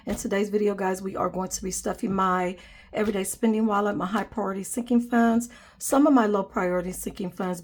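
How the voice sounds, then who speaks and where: A woman's voice: mid-range voice, darkish tone. A young woman hosting a budgeting vlog, chatting casually and counting cash aloud, sounding nasal from a cold. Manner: friendly, calls viewers "guys", counts money aloud. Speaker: budgeting channel host.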